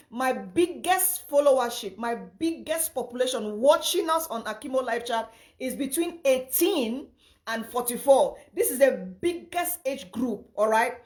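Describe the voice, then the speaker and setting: Hoarse young woman, fairly high voice, livestream host, repeats herself, greets viewers. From Cameroon. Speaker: live chat host. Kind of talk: casual livestream talk.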